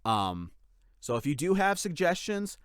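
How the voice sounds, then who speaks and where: A man's voice: a little high, sing-song, unhurried, a bit bright, clean tone, no room sound, studio quality. A man talking casually and unscripted to his followers as a content creator, rambling and often restarting his sentences. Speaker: channel creator and voice actor giving a series update.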